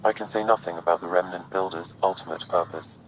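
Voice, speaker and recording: A man voicing a calm, analytical artificial intelligence assistant in a video game, speaking in a measured, formal, precise way. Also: deep voice, slight background noise, lightly acted, low-quality recording.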